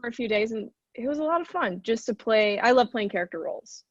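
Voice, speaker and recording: A woman from Arkansas talks in a relaxed, chatty way, and a light Arkansas lilt slips into some of her words. Her voice is recorded close and dry, with a slightly muffled, dull tone. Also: on the high side, fast, no echo. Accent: light Arkansas Southern. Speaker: actor, interview guest. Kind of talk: casual interview chat.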